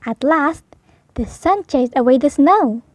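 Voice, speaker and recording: A woman's voice: sits high, expressive, measured pace, dry recording. A woman narrating a children's storybook as a read-aloud.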